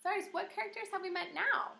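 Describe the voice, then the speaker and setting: A woman kindergarten teacher reading a story aloud with teaching asides, expressive, questioning and encouraging, recorded in an echoey room. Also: very high voice, quick pace, creaky.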